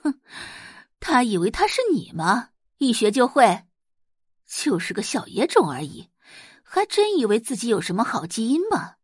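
A woman voicing a scornful, sharp-tongued middle-aged mother who talks in a sneering way, in an audio drama. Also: a little high, sing-song, normal speed, breathy edge, dry recording, studio quality. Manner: sneering, contemptuous.

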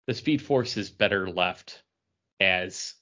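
A man's voice: low voice, takes it slowly, bright, forceful tone. A man co-hosting a podcast, chatting casually about comic books. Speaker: podcast host. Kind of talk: casual comic book review chat. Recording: dull, lo-fi sound.

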